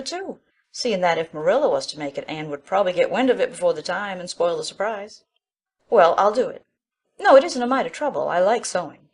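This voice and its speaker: A woman playing an opinionated, bossy middle-aged village busybody in an audiobook drama, chatty and self-assured. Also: mid-range voice. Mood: brisk, obliging.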